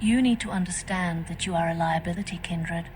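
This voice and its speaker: A woman's voice: on the low side, close to an everyday voice. An actress playing a composed, scheming female vampire faction leader in a video game, speaking formally. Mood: cool warning. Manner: formal, measured, stately. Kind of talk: video game dialogue acting. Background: music.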